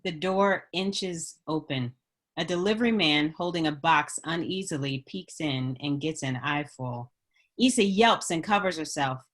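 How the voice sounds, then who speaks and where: A woman's voice: sits low, measured pace, a bit bright. A woman reading stage directions as scripted narration at a screenplay table read.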